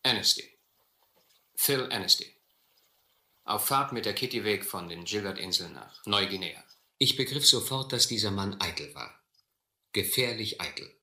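A suspicious, shrewd sea captain tells and lives an adventure in a radio play. His voice is forceful and sharp-edged, sitting a little low, with a dry, measured delivery in a roomy acoustic. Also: lively intonation, full character voice. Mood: dry, polite. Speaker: actor playing captain and first-person narrator. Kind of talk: German radio adventure drama acting.

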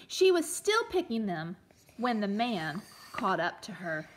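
A woman reading a storybook aloud to young children as a teacher, expressively, pausing to explain and ask questions. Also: mid-range voice, very animated, background noise. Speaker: elementary teacher reading a picture book aloud.

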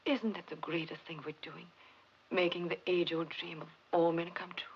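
A woman's voice: on the low side, level tone, normal speed, slightly rough. A woman acting an earnest, caring scientist in a 1950s film drama. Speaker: film actress. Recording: dull, hissy vintage film soundtrack.

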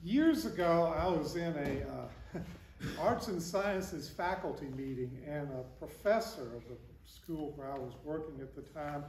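A man's voice: on the high side, unhurried, darkish tone, a little hiss or hum. An older man, a priest, reading the gospel and giving a conversational sermon with broad gestures, recorded by a far camera in a large wooden church. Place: large wooden church with stained glass, indoors. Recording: echoey church from a distance.

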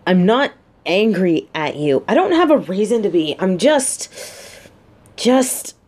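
A woman's voice: medium pitch, big pitch swings, takes it slowly, darkish tone. A woman playing a guarded, sarcastic but secretly vulnerable lieutenant and bodyguard in an intimate audio roleplay. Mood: jealous, irritated. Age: adult. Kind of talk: scripted roleplay audio drama, addressed to listener.

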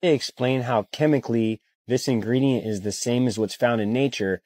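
A man with a mellow, dark voice that sounds dry and close, recorded on a phone. He talks in an assertive, warning way in short-video commentary. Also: mid-range voice, moderate pace, no room sound. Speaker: social media creator.

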